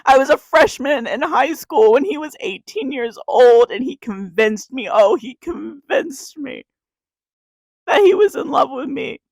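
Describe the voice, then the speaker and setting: A woman's voice: sits high, expressive, unhurried, a little mellow, no echo. A 21-year-old woman, crying as she reads a confessional spoken-word poem to camera, with a repeating refrain. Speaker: YouTuber.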